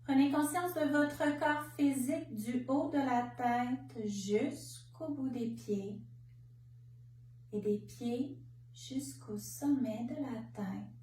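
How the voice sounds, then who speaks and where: A woman leading a guided relaxation meditation as a yoga nidra instructor, speaking in calm, measured short phrases with pauses. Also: sits high. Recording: roomy, distant mic, faint background noise.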